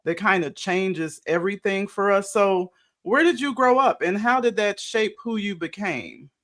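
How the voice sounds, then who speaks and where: A woman's voice: medium pitch, moderate pace. A woman hosting a coaching interview, enthusiastically affirming her guest's answers before asking the next question. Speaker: host, life coach.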